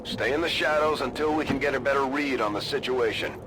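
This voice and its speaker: An actor playing a determined, urgent military mission officer in a video game, giving terse tactical briefings. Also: male voice, medium pitch, fairly quick, background noise, natural voice. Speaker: mission commander character. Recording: loud music over voice.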